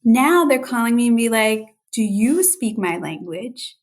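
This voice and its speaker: High-pitched woman telling her professional story. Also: animated, unhurried. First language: Urdu and Hindi besides English. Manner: earnest, quotes others' remarks in dialogue. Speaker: guest speech-language pathologist. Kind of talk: podcast interview, personal storytelling.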